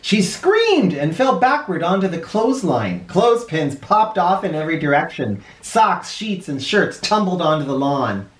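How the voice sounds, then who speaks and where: Animated man reading a storybook, very lively pitch. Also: moderate pace, few pauses. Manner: theatrical, playful. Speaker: children's storybook reader, host. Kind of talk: animated read-aloud narration with asides. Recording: roomy echo.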